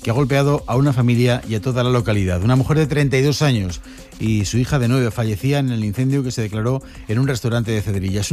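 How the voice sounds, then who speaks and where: Spanish guy anchoring morning radio news, quick and measured, faint music underneath. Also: mid-range voice, darkish tone. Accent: Spanish (Spain). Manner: brisk, measured newsreader delivery. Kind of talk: news bulletin presenting.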